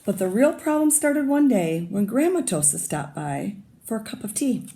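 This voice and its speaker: Gravelly-voiced teacher reading aloud. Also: female voice, medium pitch, big pitch swings, dark tone. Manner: pauses to comment and ask listeners questions. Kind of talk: reading a picture book aloud to children.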